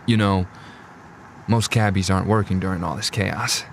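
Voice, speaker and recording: A man's voice: low voice, vocal fry, slight background noise. A man playing a friendly, chatty middle-aged cab driver in an audio drama, talking in an easygoing, casual way.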